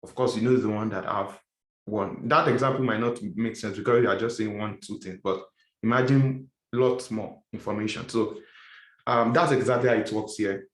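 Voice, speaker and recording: A man's voice: on the low side, steady tone, moderate pace. Man teaching a class in an echoey room. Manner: explanatory, repeats and rephrases points. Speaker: instructor. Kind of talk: classroom lecture.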